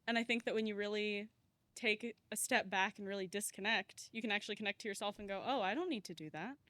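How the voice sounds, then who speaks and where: A woman's voice: medium pitch, quick pace, sharp tone, dry recording. A young woman on a student panel, talking reflectively and casually with a lot of filler words. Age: young adult. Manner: reflective, uses many "like" fillers. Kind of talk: panel discussion.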